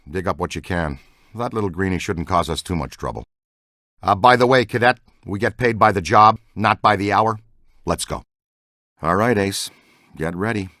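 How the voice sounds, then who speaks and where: Middle-aged ghostbuster type for a game, eager team leader, swings all over, super dry sound. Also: male voice, on the low side, fairly bright tone, dry recording. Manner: enthusiastic, slangy, folksy team-leader talk. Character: eager, excitable middle-aged male ghost hunter mentoring a rookie. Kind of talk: video game voice acting.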